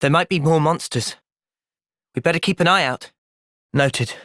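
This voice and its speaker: A man voicing an earnest, thoughtful young fighter in a video game, measured and sincere but capable of impassioned intensity. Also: fairly high pitch, a bit bright, dry recording, clean studio sound, natural voice. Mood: thoughtful, steady. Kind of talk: video game cutscene voice acting.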